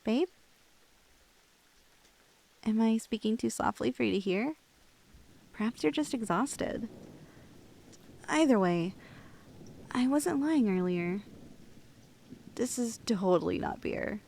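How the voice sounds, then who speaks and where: A young woman playing a shy, lovestruck cosplayer in an intimate ASMR roleplay, with girlish slangy speech and a halting, bashful manner, close on the mic. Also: medium pitch, creaky, darkish tone. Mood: wistful, hesitant. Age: young adult. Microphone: close, dry studio-style microphone.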